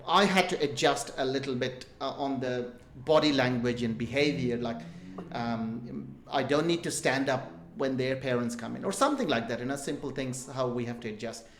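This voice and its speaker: A man's voice: mid-range voice, moderate pace, slight background noise. A middle-aged man from India with an Indian English accent touched by Australian, telling jokey, rambling stories as an interview guest. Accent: Indian English with Australian influence. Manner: rambling, self-deprecating, jokey storytelling. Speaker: guest entrepreneur. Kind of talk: casual interview talk. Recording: roomy echo.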